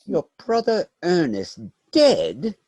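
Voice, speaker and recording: A man's voice: high-pitched, wildly swooping pitch, takes it slowly, a little mellow, no echo, heavily acted. Guy playing a kindly middle-aged vicar in a stage comedy, stuffy and pedantic, lots of lilt, dry sound. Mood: solemn concern. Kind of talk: dramatic reading of a stage comedy.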